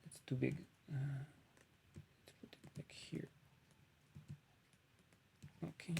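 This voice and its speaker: A man from Poland with a Polish accent, chatting casually on a live stream as he paints, thinking aloud with frequent pauses. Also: a little high, fairly even intonation, vocal fry, a little mellow, slight background noise.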